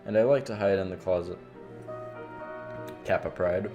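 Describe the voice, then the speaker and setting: A man's voice: sits low, moderate pace, dull audio. A man livestreaming a game, reading visual novel text aloud and dropping in casual, sweary reactions. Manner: casual, profane asides. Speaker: game streamer. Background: game music with guitar.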